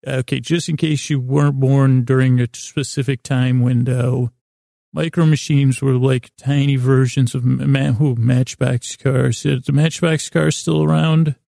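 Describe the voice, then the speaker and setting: Creaky-voiced man rambling softly through a bedtime podcast. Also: medium pitch, fairly even intonation, no echo, clean studio sound. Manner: halting, stumbling, repeats himself, loses his thread. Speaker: podcast host. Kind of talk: rambling, digressive bedtime monologue.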